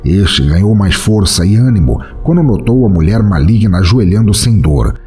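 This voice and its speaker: A man's voice: lowish voice, animated, moderate pace, dark tone, faint music behind. A man with a Brazilian accent reading a scripted fantasy story as narrator.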